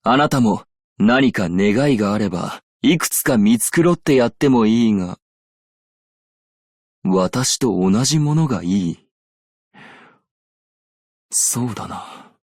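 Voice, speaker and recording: A man playing a composed, shrewd and dignified desert merchant in a Japanese game, speaking in a measured, formal way. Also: lowish voice, lively intonation, darkish tone, dry recording, clean studio sound. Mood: calm, reflective. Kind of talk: Japanese game character voice lines.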